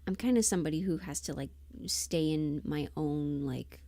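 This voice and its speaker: A woman chatting casually on a livestream, thinking aloud in a halting, self-deprecating way, often muttering to herself. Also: low-pitched, creaky, warm tone, no echo. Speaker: livestream host, voice performer.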